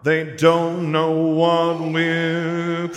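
A man's voice: fairly high pitch, completely flat delivery, very slow, pitched above the performer's own voice. A man voicing a defiant young male singer who rouses a crowd with declamatory song and chants in an audiobook. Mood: defiant singing. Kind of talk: performed song and shouted rallying in an audiobook. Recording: echoey hall reverb with music behind.

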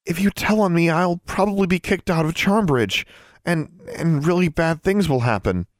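A man's voice: mid-range voice, sing-song, brisk, no echo, studio quality, pitched above the performer's own voice. A man voicing a stubborn young schoolgirl witch in an audiobook, insistent, pleading and defiant. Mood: desperate pleading.

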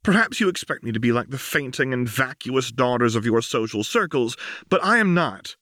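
A man's voice: medium pitch, sing-song, normal speed, pushed and bright, no echo, studio quality, pitched above the performer's own voice. A man voicing a proud, defiant young noblewoman soldier who speaks in a cold, cutting, indignant way.

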